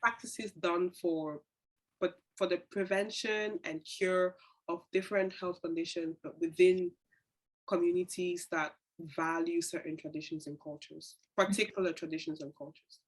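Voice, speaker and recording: A woman's voice: fairly low pitch, fairly even intonation, normal speed, low-quality recording. A woman who grew up in Cameroon and Tanzania, co-hosting a discussion podcast with a playful, emphatic, joking manner. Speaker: podcast co-host. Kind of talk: casual discussion.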